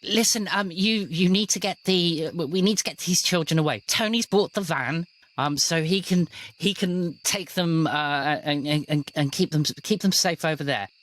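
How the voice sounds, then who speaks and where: A man's voice: on the high side, normal speed, fairly bright tone, pitched above the performer's own voice, lightly acted. A man voicing a kindly, fussing old woman with a cooing way of talking in a tabletop game. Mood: flustered. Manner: cooing, endearing address.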